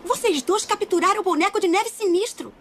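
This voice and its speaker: High-pitched young cartoon sleuth. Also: female voice, a little fast, a bit bright. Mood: explaining, curious. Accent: Brazilian. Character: bright, inquisitive young woman detective. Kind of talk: animated cartoon dubbing.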